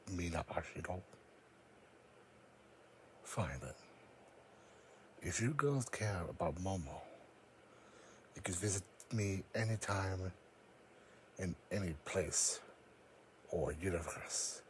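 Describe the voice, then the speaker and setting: A man playing a solemn, powerful psychic creature in a fan audio drama, speaking in a grave, deliberate, formal manner. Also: low-pitched, lively intonation, a little hiss or hum. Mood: solemn farewell.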